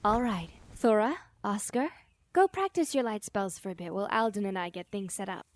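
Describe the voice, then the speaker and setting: A woman acting a brisk, firm middle-aged guardian mother in a fantasy audio drama, decisive and matter-of-fact. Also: medium pitch, close to an everyday voice. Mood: bright, brisk.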